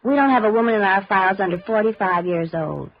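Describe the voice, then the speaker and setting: A woman's voice: mid-range voice, expressive, fast. An actress playing a wary, blunt woman clerk at a lonely-hearts club in a 1950s radio drama, with slangy, defensive delivery. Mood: brisk, skeptical. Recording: dull vintage 1950s radio recording.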